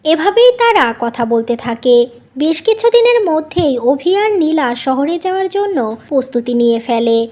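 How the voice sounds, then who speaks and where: A woman reading scripted narration for an animated folk-style family story. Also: sits high, normal speed.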